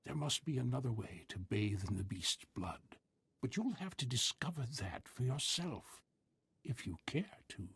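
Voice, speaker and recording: A man voicing an ancient, half-mad soothsayer in a video game, slow, cryptic and portentous. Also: medium pitch, very animated, fairly bright tone, breathy edge, dry recording, heavily acted. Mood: solemn. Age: older. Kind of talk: scripted video game acting.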